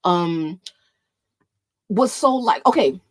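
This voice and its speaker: A woman's voice: fairly low pitch, animated, takes it slowly, no echo. A woman in her late twenties from New York, with a Caribbean lilt that sounds Jamaican, vlogging in a rambling casual chat that keeps interrupting itself. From New York, USA. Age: late 20s. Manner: rambling, self-interrupting, laughs often.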